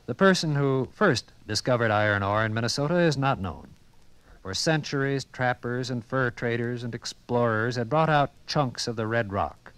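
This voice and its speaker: A man narrating a 1950s radio documentary in a formal, measured announcer's delivery. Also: mid-range voice, expressive, fairly bright tone, clean tone, no echo, rough recording. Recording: vintage radio broadcast with music.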